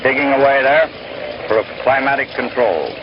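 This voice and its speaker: Male American baseball radio announcer, 1940s style, folksy play-by-play, muffled audio with clear background noise. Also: mid-range voice, normal speed. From United States. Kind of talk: old-time radio sports play-by-play commentary. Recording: noisy, muffled 1930s-1940s radio recording.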